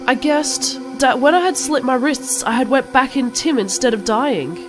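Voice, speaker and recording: A woman's voice: sits high, moderate pace, close to an everyday voice. A woman voicing a gushing, melodramatic teenage goth girl vampire, narrating parody fan fiction in an over-the-top dramatic way. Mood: dramatic, indignant. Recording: loud music behind the voice.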